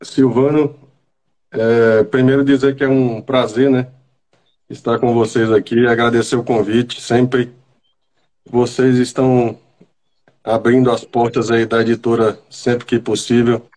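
A man from Bahia, a writer guest, speaking haltingly over a video call while recovering from a cold. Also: mid-range voice, little pitch movement, darkish tone. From Bahia, Brazil. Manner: halting, repeats words while searching. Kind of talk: casual video-call interview. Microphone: video call from home.

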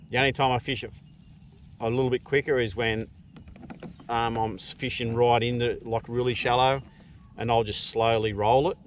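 Middle aged aussie bloke talking through fishing stuff casually, unhurried, out on the water with background noise. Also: male voice, medium pitch. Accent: Australian. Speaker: fishing vlogger. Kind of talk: casual tutorial chat while fishing. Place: outdoors in a kayak on open water.

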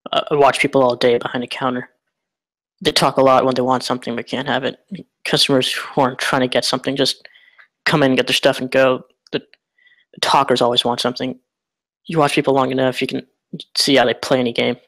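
Man as determined clerk turned gambler, mid pitch, little inflection, understated, matter-of-fact. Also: flat delivery, brisk, fairly bright tone, lightly acted, poor audio. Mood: quietly assured. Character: nervous but determined male shop clerk gambler. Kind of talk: script table read acting.

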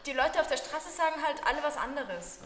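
Teen girl, sarcastic and dismissive, slightly high, in a roomy classroom. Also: expressive, brisk, fairly bright tone, slight background noise. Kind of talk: amateur short film acting. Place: classroom indoors.

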